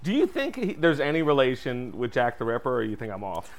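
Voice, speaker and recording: A man's voice: medium pitch, wildly swooping pitch, a little fast. A man co-hosting a podcast in casual chat, teasing his partner with playful questions. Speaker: podcast co-host.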